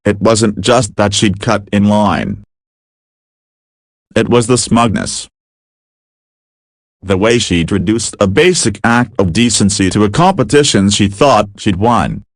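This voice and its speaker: Male story narrator, lowish register, deliberate scripted delivery, very dry close sound. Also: lively intonation, dry recording.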